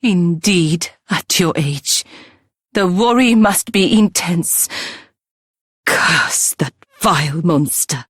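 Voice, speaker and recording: A woman playing a grave, guarded villager in a video game, with a husky, breathy voice. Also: fairly low pitch, expressive, slow pace, no room sound, clean studio sound, exaggerated voice. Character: grave, worried woman villager, cautious and bitter. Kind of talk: dramatic video game voice acting.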